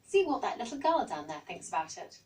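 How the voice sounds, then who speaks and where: A woman's voice: mid-range voice, very animated, fairly quick, a little mellow, recorded from a distance, natural voice. A woman with an English accent voicing an encouraging mother owl in a storybook.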